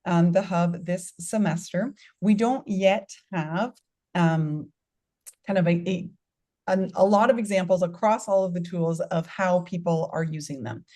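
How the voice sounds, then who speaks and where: Mellow, lowish-voiced woman co-hosting. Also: measured pace. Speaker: webinar co-host. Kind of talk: webinar Q&A response.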